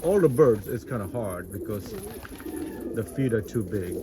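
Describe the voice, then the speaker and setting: A man from India, his voice sitting a little low, wanders through a casual chat about his pigeon loft. He talks in loose, rambling bits with plenty of restarts. Cooing and flapping birds fill the outdoor backyard around him. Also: lively intonation, normal speed. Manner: rambling, many fillers and restarts. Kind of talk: casual vlog walkthrough. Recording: loud bird noise outdoors.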